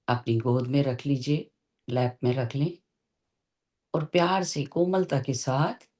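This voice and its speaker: A meditation teacher guides a session in a calm, gentle way. The pace is very slow and deliberate, with short phrases set apart by long pauses. The pitch sits in a middle range.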